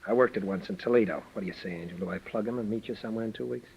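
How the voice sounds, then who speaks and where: A man's voice: on the low side, very fast, gravelly, darkish tone, slightly rough, exaggerated voice. An actor playing a cocky, menacing gunman in a 1940s radio crime drama, with slangy, taunting tough-guy delivery. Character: cocky, menacing gunman and schemer, adult man. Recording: muffled vintage radio recording.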